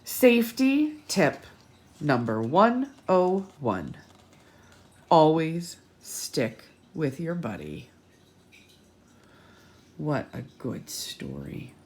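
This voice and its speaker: A woman reading a picture book aloud to children as a storytime host, animated and teacherly, with dramatic delivery and little asides. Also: sits low, very slow, long pauses, slight background noise.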